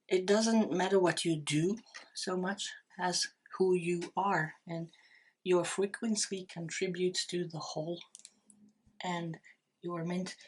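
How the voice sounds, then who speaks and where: A middle-aged woman with a Dutch accent, giving an unscripted tarot reading, talking slowly and hesitantly with many fillers and restarts. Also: on the low side, slightly rough. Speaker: tarot reader.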